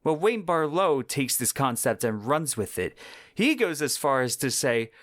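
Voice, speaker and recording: A man's voice: medium pitch, big pitch swings, unhurried, a bit bright, no echo. A man hosting a podcast, explaining in a conversational, semi-scripted narration with emphatic descriptive wording. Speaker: podcast host.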